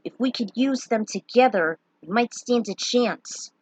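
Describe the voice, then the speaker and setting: A woman's voice: on the high side, measured pace, no room sound, low-quality recording. Woman playing a commanding young knight leader. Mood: instructing. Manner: commanding, emphatic, urging.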